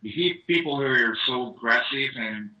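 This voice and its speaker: A man's voice: medium pitch, moderate pace, lo-fi sound. A man speaking earnestly over a video call, often saying you know. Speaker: caller.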